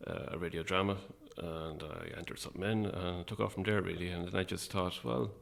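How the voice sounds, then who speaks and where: A man's voice: low-pitched, steady tone, brisk, vocal fry, fairly bright tone. A middle-aged Irish man chatting casually and modestly as an interview guest about his work. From Ireland. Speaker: guest, playwright and actor.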